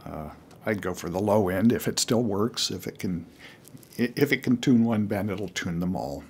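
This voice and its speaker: An older man giving casual, unscripted how-to advice to beginners as a hobbyist, in a halting, rambling way with frequent pauses. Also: on the low side, lively intonation, a little mellow, husky.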